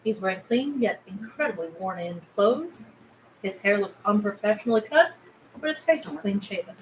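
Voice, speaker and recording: A woman's voice: mid-range voice, animated, moderate pace, poor audio. A woman narrator reading screenplay stage directions aloud at a table read. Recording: faint background noise.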